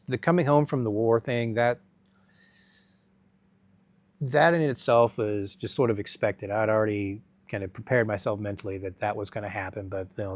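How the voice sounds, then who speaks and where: A middle-aged man telling his life story as an interview guest, halting and rambling with many restarts. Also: fairly low pitch, a little mellow, no room sound.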